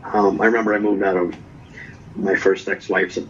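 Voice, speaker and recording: A man from Norway, telling his story as a guest in a relaxed, casual chat and tagging his lines with "right?". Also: sits low, moderate pace, dull audio. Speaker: guest vlogger.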